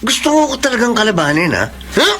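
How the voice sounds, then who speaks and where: A man's voice: sits high, wildly swooping pitch, fast, heavy background noise. A man playing a cruel, jealous husband in a Filipino radio drama, menacing and taunting. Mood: suspicious, menacing.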